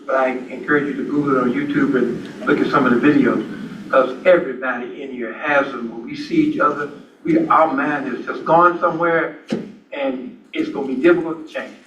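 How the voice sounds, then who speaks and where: Loud emphatic guy on a panel, echoey room, background noise. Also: mid-range voice, fairly even intonation, moderate pace, far from the mic. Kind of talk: panel discussion. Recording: dull echoey hall mic with room noise.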